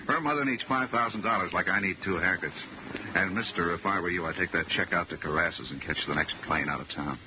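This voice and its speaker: Blunt, wry American sheriff male actor, deep voice, 1950s radio drama, muffled recording with heavy hiss. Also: quick pace, close to an everyday voice. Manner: wry, plain-spoken. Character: blunt, folksy, world-weary male sheriff. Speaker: supporting actor.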